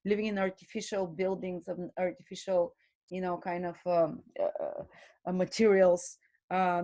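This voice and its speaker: A woman giving an earnest spoken lecture as a spiritual teacher, pausing and restarting her phrases often. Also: mid-range voice, a little mellow. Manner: earnest, with frequent restarts and hesitations.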